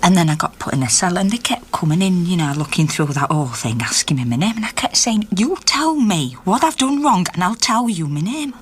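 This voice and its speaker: A woman's voice: lowish voice, lively intonation, brisk, few pauses, husky. An actress playing a middle-aged Yorkshire miner's wife, an indignant activist telling her story conversationally and acting out the dialogue in a naturalistic radio drama. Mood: defiant.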